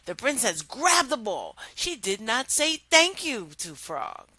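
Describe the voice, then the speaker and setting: A woman telling and explaining a children's story as a reading teacher, in an expressive, deliberate manner. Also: a little high, husky, dry recording.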